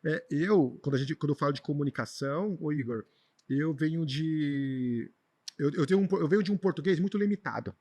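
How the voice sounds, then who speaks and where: A man with a Brazilian accent speaks very quickly in a slightly high voice. He tells personal stories in an informal, conversational way, repeating words for emphasis as an interview guest. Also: a little mellow. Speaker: podcast guest, traveler and speaker.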